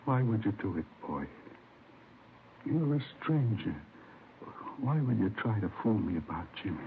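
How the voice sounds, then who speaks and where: A man's voice: on the low side, big pitch swings, a little slow, narrow, tinny audio. A weary, heartbroken grandfather in a vintage radio drama. He speaks as a wounded old man, in a thin, band-limited recording with light noise. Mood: anguished. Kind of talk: 1950s radio drama acting.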